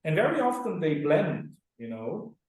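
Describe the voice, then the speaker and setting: A man's voice: a little high, very animated, measured pace, a little mellow. A middle-aged man from Austria, a guest on a radio interview, talking earnestly and at length, often checking in with "right?". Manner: earnest, explanatory, often tags sentences with "right?". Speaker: clinic director and co-founder. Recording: very echoey, distant sound.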